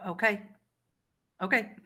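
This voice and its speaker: A woman's voice: mid-range voice, fairly even intonation, slow, pushed and bright, slightly rough, heavily acted. A woman voicing a timid, dim, nervous man who works for a vampire, hesitant and stammering in a tabletop game. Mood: puzzled. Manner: hesitant, stammering, plain-spoken. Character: timid, dim, nervous male human errand-runner for a vampire.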